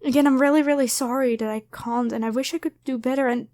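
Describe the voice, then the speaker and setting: A woman's voice: high voice, fairly even intonation, fast, a little mellow, lightly acted. A woman voicing a grateful, flustered young woman who rambles and stammers apologetically in an ASMR roleplay spoken to the listener. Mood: apologetic, caring.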